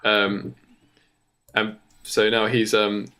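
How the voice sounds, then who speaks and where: A man co-hosting a podcast, casually improvising a story in a halting way, as if thinking aloud. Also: lowish voice, monotone, bright, forceful tone, clear, resonant tone. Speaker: podcast co-host.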